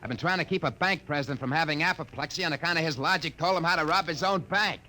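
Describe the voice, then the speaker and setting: Sharp, wry, exasperated repairman. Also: on the high side, quick pace. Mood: weary, irritated. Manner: sardonic, grumbling wisecracks. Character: wry, weary, exasperated maintenance crew chief. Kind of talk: 1950s radio drama acting. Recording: noisy old radio broadcast recording.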